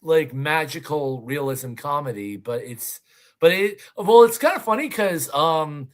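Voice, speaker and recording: A man co-hosting a movie countdown show, chatting casually with a hesitant, halting delivery. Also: a little high, big pitch swings. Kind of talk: casual film chat.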